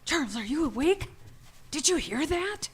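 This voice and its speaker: A woman's voice: sits high, sing-song, moderate pace. A woman playing an anxious, polite and hesitant wife in a live radio drama. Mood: anxious, searching. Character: anxious, polite American wife, tourist guest. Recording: live stage recording with background noise.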